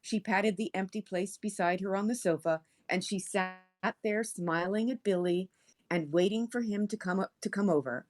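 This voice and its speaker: A woman narrating a horror story aloud in an expressive read-aloud style. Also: mid-range voice, moderate pace. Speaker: story reader on a stream.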